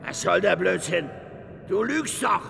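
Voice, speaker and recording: An actor playing a brutal, menacing interrogator with a strained, creaky voice in a radio drama. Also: male voice, high-pitched, animated, unhurried, heavily acted. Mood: furious. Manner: menacing, swearing. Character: furious brutal interrogator threatening a captive. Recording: long reverb, music behind.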